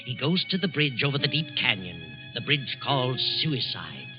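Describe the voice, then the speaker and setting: A man hosts and narrates an old radio suspense show, his delivery measured and ominous. The sound is dull and lo-fi, and loud music plays beneath his voice. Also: fairly high pitch, huge pitch swings. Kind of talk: scripted narration, 1940s radio.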